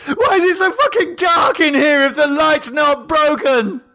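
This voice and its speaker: A man voicing a terrified, raving man blinded in a dark cellar for a horror audiobook, frantic, sweary and stammering. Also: very high voice, measured pace, pitched above the performer's own voice, heavily acted. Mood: hysterical, furious. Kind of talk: audiobook character voice.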